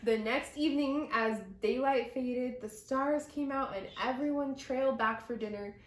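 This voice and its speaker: A woman reading a picture book aloud to children with deliberate, dramatic, expressive phrasing. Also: high voice. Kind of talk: expressive children's story narration. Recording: roomy echo.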